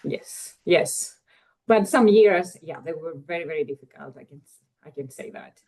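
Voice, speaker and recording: Greek-accented woman, pretty low voice, eager and chatty, lots of "like". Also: normal speed, darkish tone, poor audio. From Greece. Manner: eager, leans heavily on "like" fillers. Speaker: guest economist. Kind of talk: casual interview chat.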